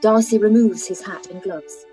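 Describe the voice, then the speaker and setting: A woman narrating stage directions for a live-read radio play, calm and even. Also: medium pitch, moderate pace, faint music behind.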